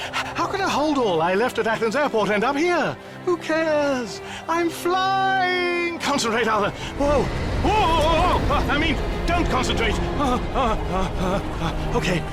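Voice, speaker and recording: An actor playing a flustered, excitable ordinary man in a comic radio drama, stammering and exclaiming as he talks to himself. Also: very high-pitched, full character voice.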